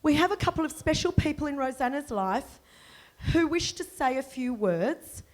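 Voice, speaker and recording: A woman's voice: high-pitched, expressive, normal speed. A woman hosting a book launch, gushing with praise as she introduces the speakers. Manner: gushing, warm praise with little laughs. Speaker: event host. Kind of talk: introductory speech.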